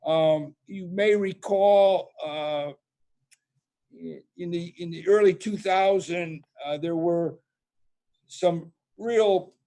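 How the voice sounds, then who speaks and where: A man with a fairly high voice that still sounds mellow and soft-edged. He explains things step by step to an online audience, with plenty of "uh" and "you know" as he goes. It sounds like he is speaking over a video call. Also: slow pace. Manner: explanatory, many fillers and restarts. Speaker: presenter. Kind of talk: webinar presentation.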